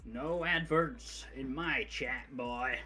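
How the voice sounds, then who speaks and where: A game streamer giving casual, informal commentary, blunt and quick to swear. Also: mid-range voice, pushed and bright, slightly rough, faint music behind.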